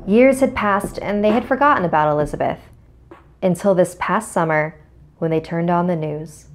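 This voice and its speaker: A woman's voice: fairly low pitch, moderate pace, slight background noise. A woman hosting a scary story series, reading scripted horror narration.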